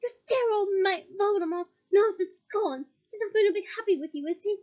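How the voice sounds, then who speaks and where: A teenage boy wizard from a storybook, loud and defiant, taunting his enemy while in pain. His voice sits raised and strained, voiced by a woman reading aloud. He shouts more than he speaks. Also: very high voice, a little fast, muffled recording, voice pushed up.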